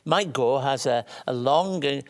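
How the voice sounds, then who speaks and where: A man's voice: mid-range voice, measured pace. A man hosting a talk show, interviewing a guest hesitantly with many short murmurs of agreement. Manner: hesitant, with frequent backchannel murmurs.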